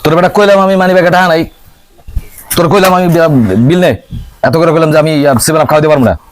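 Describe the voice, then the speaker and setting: A man's voice: on the high side, fast talker, lightly acted. A man with a Bangladeshi accent acting as a broke, aggrieved city office worker in a comic drama, grumbling to a friend in colloquial speech. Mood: exasperated. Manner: animated grumbling storyteller, colloquial and exasperated. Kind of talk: scripted comic drama dialogue.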